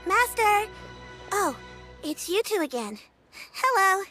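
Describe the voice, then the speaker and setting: A woman's voice: very high-pitched, big pitch swings, slow pace, music in the background, full character voice. A woman playing a shy, earnest and polite young girl apprentice in an anime game, with hesitant delivery. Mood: cheerful, polite.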